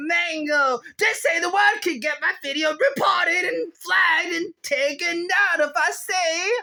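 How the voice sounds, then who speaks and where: A very high, bright, squeaky voice rants in a rambling vlog. The agitated complainer exclaims, repeats phrases and stretches words out. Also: male voice, full character voice. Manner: exclamatory, repetitive, drawn-out words. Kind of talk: rambling solo rant.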